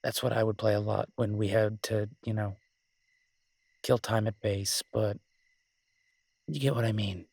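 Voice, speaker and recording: Male actor as smitten werewolf man, low husky voice, tender, teasing, rambling, self-deprecating, intimate romance audio roleplay. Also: steady tone, a little slow, pauses often, a little mellow, no echo, exaggerated voice. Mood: flirty, adoring.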